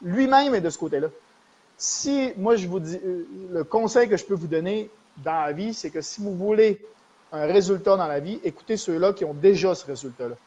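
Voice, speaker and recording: A Québécois man with a notably high voice hosts a live stream. He explains money matters and talks straight to the viewers. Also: moderate pace, mellow, husky, lo-fi sound. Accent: Québécois French. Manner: explanatory, addresses viewers directly, thanks them for likes. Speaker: host of a live stream show.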